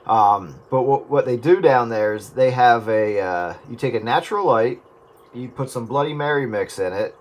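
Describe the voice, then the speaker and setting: A man's voice: mid-range voice, normal speed. A man in casual radio chat, explaining things in a laid-back, meandering way. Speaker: radio round-up participant.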